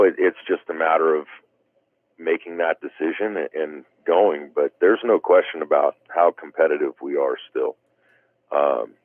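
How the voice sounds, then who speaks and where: A man's voice: very deep voice, no room sound. A man speaking as a guest in a casual interview, rambling with many "you know" fillers. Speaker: guest wiffle ball player. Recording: tinny phone line.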